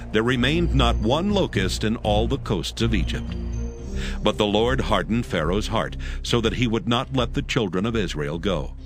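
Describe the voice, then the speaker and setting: A man with a low voice narrates scripture in a solemn, measured way. Music plays clearly beneath his scripted reading.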